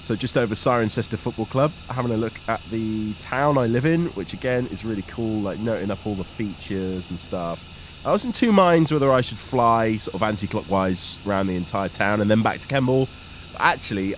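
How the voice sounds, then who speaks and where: A man's voice: fairly low pitch, lively intonation, moderate pace. A man giving an informal, enthusiastic flying commentary as a student pilot vlogger. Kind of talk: vlog commentary on a flight. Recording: engine and aircraft noise behind the voice. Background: aircraft engine noise.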